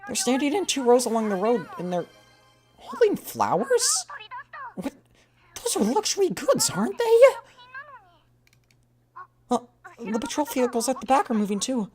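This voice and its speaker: Man putting on an excitable, high-pitched young woman soldier. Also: moderate pace, long pauses, exaggerated voice. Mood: bewildered. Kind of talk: video game character dialogue.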